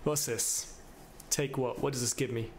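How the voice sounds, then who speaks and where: A man's voice: medium pitch, fairly even intonation, measured pace, a little hiss or hum. A young man giving casual, slangy gameplay commentary, muttering reactions and exclaiming now and then. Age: young adult. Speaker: gaming video host. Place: small indoor room at home.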